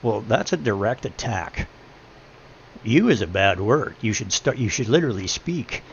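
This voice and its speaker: A middle-aged man with a deep voice chatting on his stream, rambling and preachy, hammering his points home. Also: sing-song, normal speed, vocal fry, a little hiss or hum. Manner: preachy, rambling, emphatic when making a point. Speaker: livestreamer. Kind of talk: casual chat while gaming on stream. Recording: dull lo-fi stream audio.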